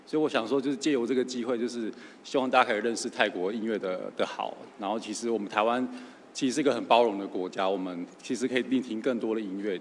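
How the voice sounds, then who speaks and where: Man, Taiwanese accent, lowish and quick, hesitant informal speech, noisy reverberant hall. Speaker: music promoter accepting an award. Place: on stage in a large theatre. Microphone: stand microphone. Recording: echoey auditorium, audible noise.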